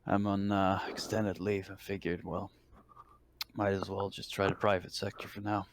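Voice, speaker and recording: A man playing a gruff, world-weary military medic in a tabletop role-playing game, talking in a dry, laconic way. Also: low voice, little pitch movement, moderate pace, slight background noise, close to an everyday voice. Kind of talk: improvised tabletop role-play.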